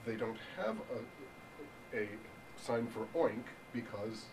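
A young man giving an improvised mock lecture in a deadpan, halting way, full of ums, in a hotel function room. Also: lowish voice. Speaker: improv presenter. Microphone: far room camera. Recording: distant voice with room hiss.

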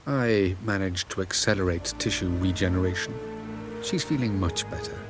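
Man playing a deep-voiced haughty elf sage. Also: over music, close to an everyday voice. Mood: aloof, evasive. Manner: formal, measured, condescending. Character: haughty, guarded ancient male elf sage. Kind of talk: video game dialogue.

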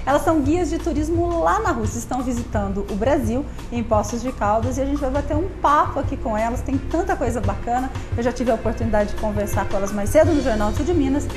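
A Brazilian woman in her thirties hosting a live TV chat show from a studio, bubbly and playful, laughing often, on a lapel mic. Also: high-pitched. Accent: Brazilian Portuguese. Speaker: TV talk show host. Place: bright TV studio set.

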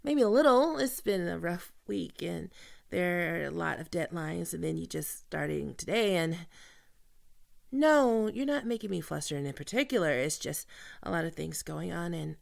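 A woman playing a flustered, smitten office team leader in an ASMR roleplay, stammering and apologetic in a halting manner. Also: lowish voice, expressive, gravelly. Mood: awkward, smitten. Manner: halting, stammering, apologetic, often dropping to whispers. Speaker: voice actor in an audio roleplay. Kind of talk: ASMR roleplay acting, one-sided dialogue.

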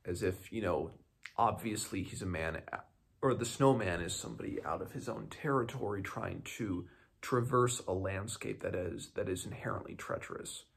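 Low-voiced, gravelly young man. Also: moderate pace. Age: 20s. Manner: hesitant, thoughtful, restarting sentences often. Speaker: book reviewer. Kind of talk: casual, unscripted short story review to camera. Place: small home room indoors.

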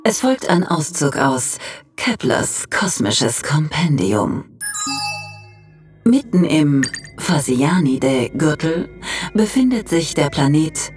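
A woman playing the matter-of-fact narrator of a cosmic encyclopedia, reading measured mock-documentary narration. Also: low voice, a little mellow, full character voice. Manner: measured, informative.

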